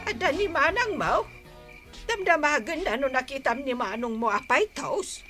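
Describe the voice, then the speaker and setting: A woman's voice: high-pitched, lively intonation, normal speed, sharp tone, soft background music. An actress in an Ilocano radio drama playing an inquiring mother of the family, with an Ilocano accent. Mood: concerned.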